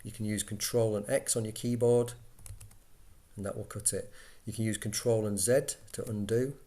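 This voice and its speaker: A man giving an informal software walkthrough, explaining step by step in a conversational way as an instructor. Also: lowish voice, steady tone, moderate pace.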